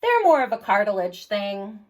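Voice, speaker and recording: A woman hosting story time for young children, speaking in an animated, encouraging way straight to her little listeners. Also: mid-range voice, moderate pace. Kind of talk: reading and singing aloud to young children.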